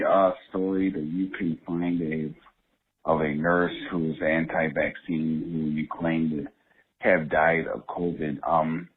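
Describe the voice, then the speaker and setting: A male caller leaving a halting, combative political voicemail for a talk show. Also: low voice, husky. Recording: phone-line voicemail.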